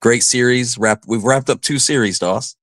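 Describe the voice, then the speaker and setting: A man's voice: medium pitch, normal speed, a bit bright, no room sound, clean studio sound. A man co-hosting a live show in casual chat, with an easygoing, folksy way of addressing listeners. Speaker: co-host reading out listener comments.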